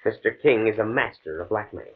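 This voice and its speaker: Grave low-voiced professor, old radio. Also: male voice, fairly quick, husky. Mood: grimly determined. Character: desperate, determined male professor, coolly fatalistic scientist. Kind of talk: 1950s radio drama acting. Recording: muffled, band-limited old radio recording.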